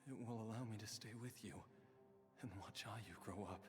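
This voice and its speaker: An actor playing a weary, devoted father in a video game, speaking tenderly and haltingly. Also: fairly low pitch, music in the background, close to an everyday voice. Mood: resigned, tender. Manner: slow, halting, tender delivery. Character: weary, devoted father facing his own death.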